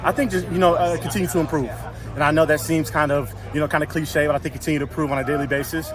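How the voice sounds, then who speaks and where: A young man, a college offensive lineman with a loud, carrying voice, answering interview questions outdoors after practice in a rapid, repetitive way full of fillers. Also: fairly high pitch, fairly even intonation. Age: young adult. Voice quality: loud voice that carries. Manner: rapid, filler-heavy, repeats words. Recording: outdoor media scrum with crowd chatter.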